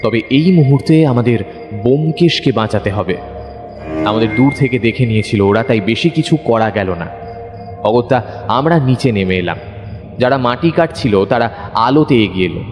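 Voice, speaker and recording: A man's voice: mid-range voice, moderate pace, over music. Suspenseful male story narrator, long reverb. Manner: suspenseful, expressive. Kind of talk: dramatic scripted first-person detective story narration.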